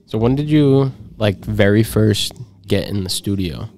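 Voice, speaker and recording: A young man hosting a podcast, asking questions in a casual, upbeat way with quick slangy reactions, speaking into a studio mic. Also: fairly low pitch, darkish tone. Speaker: podcast host. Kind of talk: casual interview. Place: small studio with purple backdrop. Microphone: studio mic with headphones.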